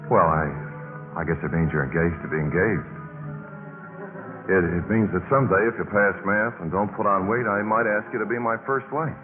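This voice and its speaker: A man plays a young college senior wooing in an old radio play. His very deep voice hesitates romantically. It sounds tinny and narrow, with music clearly playing behind. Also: moderate pace, lightly acted. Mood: courting. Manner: hesitant, repeats words, courting. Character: smooth-talking, boyish male college senior, romantic. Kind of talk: 1950s radio drama acting.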